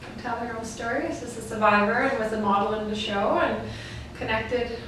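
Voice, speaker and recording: A woman's voice: fairly high pitch, fairly even intonation, moderate pace, fairly bright tone. A woman in her thirties from Denmark, casually answering interview questions as a guest. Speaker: guest, fashion designer. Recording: echoey room, distant mic, faint noise.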